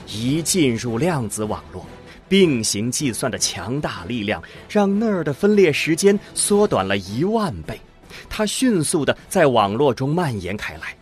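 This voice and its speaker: A man narrating a scripted science fiction audio drama in a dramatic storytelling manner, landing phrase endings deliberately. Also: fairly high pitch. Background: music under the voice.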